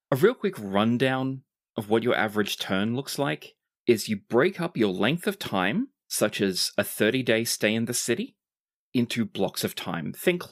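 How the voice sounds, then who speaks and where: A game master explaining tabletop game rules in a methodical, explanatory way. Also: mid-range voice.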